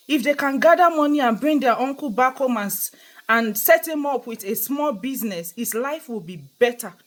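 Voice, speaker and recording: A young Nigerian woman with a Nigerian accent, vlogging in a casual, earnest storytelling monologue and repeating points for emphasis. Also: mid-range voice.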